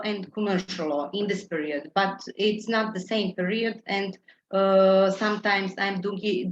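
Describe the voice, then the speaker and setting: A woman attorney from Montenegro, introducing herself on a video call in halting English, stopping often to search for words. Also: mid-range voice, slight background noise. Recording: echoey, dull video-call audio.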